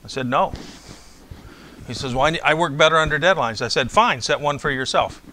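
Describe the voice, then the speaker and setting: Older male lecturer, casual and digressive, bright forward tone, lapel mic in a big classroom. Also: fairly high pitch, brisk, slight background noise, rough recording. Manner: conversational, rambling, checks in with "okay?". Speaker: university professor. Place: large lecture hall with blackboards.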